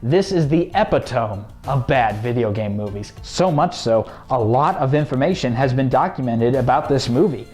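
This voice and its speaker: A man's voice: mid-range voice, expressive, normal speed, few pauses, a little mellow. A man co-hosting and narrating a scripted video commentary. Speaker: co-host. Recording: music behind the voice.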